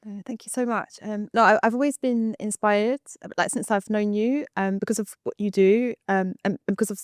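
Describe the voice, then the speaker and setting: A woman's voice: mid-range voice, fairly even intonation, fairly quick, no room sound, clean studio sound. A woman hosting a casual interview, chatty with many fillers. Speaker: interview host.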